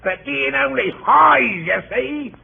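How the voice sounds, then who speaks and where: Through tinny, hissy old radio comes a Cockney manservant in the witness box. His voice is very high and swoops about. He is droll, wordy and mock-respectful, never flustered. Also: animated, takes it slowly, gravelly, heavily acted. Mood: droll, unruffled. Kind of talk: 1930s radio acting. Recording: tinny, noisy 1930s radio broadcast.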